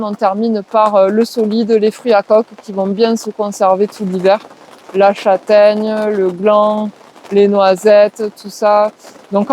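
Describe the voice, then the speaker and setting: A woman's voice: fairly high pitch, a little mellow, a little hiss or hum, poor audio. A woman with a southwest French accent answering interview questions, explaining things at length with many hesitations and filler words. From southwest France. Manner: hesitant, lots of "euh" and "ben", lists things off. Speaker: guest, permaculture trainer.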